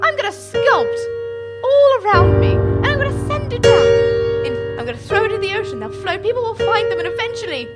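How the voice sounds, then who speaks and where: Woman playing an excitable, very high-voiced sculptor. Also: a little fast, over loud music. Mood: defiant. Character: excitable, idealistic female sculptor, righteous and romantic. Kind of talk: improvised comedy radio play.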